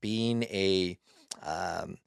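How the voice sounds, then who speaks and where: A man in his twenties, a podcast guest, telling his story in a relaxed, halting way with frequent restarts. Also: low voice, gravelly, clear, resonant tone, dry recording, clean studio sound. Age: 20s. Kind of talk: casual storytelling.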